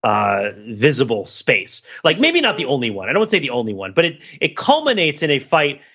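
A man's voice: medium pitch, wildly swooping pitch, fairly quick, sharp tone, husky, dull audio. A man co-hosting a podcast, talking through a film in a casual, rambling, analytical way. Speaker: podcast co-host.

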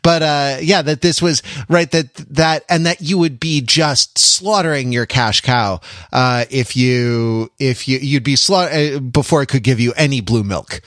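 A man with a mid-range voice chats casually as a podcast host. His delivery is halting, often restarting and circling back over a phrase before landing it. The sound is very dry, with no room to it. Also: mid-range voice, a bit bright, no room sound. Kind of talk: casual pop culture discussion.

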